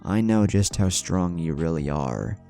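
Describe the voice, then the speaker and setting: Man voicing a stern, scheming older shinobi, deep. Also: lively intonation, unhurried, vocal fry, dark tone, exaggerated voice. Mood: imposing. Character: stern, scheming older male shinobi leader, stoic, power-hungry. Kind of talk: fan fiction audiobook dialogue. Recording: music under the voice.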